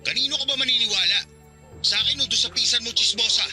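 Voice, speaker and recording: Snappy defensive boyfriend, piercing bright tone, rapid delivery, radio drama. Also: male voice, very high voice, soft background music, heavily acted. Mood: angry, dismissive. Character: defensive, irritable boyfriend snapping over the phone.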